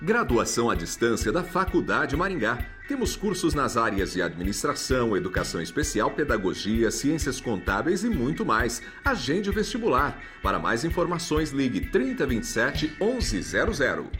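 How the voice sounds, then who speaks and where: A man's voice: mid-range voice, very animated, moderate pace, few pauses, a bit bright. A man with a Brazilian accent reading a scripted radio advert as an announcer. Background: faint music.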